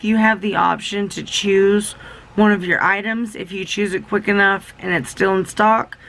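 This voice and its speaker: Young woman, gravelly voice, slightly hoarse from a cold, chatty rambling unboxing talk, faint hiss behind. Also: mid-range voice, a bit bright, poor audio. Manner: rambling, self-interrupting, enthusiastic. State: a little sick, losing her voice. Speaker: beauty vlogger.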